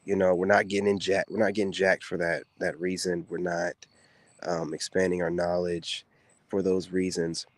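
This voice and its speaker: A soft-spoken man, a former soldier, talks casually to the camera to his women viewers in a relaxed, drawling way with many fillers. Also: low-pitched, moderate pace, no room sound. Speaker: content creator speaking to women viewers. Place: outdoors.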